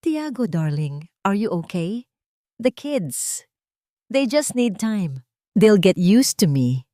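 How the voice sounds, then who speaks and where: A woman's voice: mid-range voice, sing-song, takes it slowly, dark tone, no room sound, clean studio sound. A woman voicing a scheming, sugary woman full of fake concern in an audio drama, coaxing sweetly in mixed English and Tagalog. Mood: falsely concerned.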